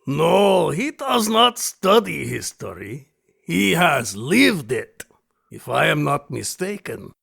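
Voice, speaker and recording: A genial, grandiose big-game hunter in an audio drama. His voice is husky and sharply bright, and he speaks slowly with courtly, theatrical emphasis. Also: a little high, very animated, heavily acted. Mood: gleeful, grandiose. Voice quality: gravelly, husky.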